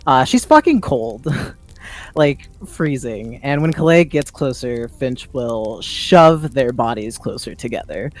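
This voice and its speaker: Slow-paced roleplayer with a casual, sweary manner. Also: mid-range voice, expressive, poor audio. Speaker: player in a tabletop roleplaying game. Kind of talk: improvised in-character roleplay and narration.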